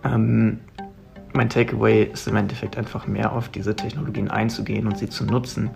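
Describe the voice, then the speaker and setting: A man's voice: lowish voice, little pitch movement, moderate pace, gravelly. A man talking thoughtfully about his studies in a reflective monologue. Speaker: student speaker. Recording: music playing underneath.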